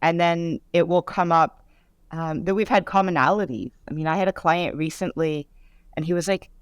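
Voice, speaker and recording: A woman's voice: lowish voice, normal speed, clear, resonant tone, no room sound, clean studio sound. A middle-aged woman hosting a legal podcast, chatting enthusiastically with fillers as she interviews her guest. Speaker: podcast host, lawyer. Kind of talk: casual interview conversation.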